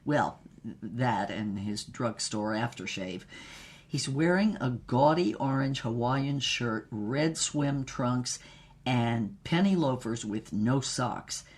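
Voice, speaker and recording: A woman playing a worldly, brassy older former starlet who tells racy memoirs in a husky voice, wryly and unhurriedly, with dramatic pauses. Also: extremely low pitch, animated, a little mellow, full character voice. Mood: sultry, dramatic. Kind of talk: comic scripted memoir narration.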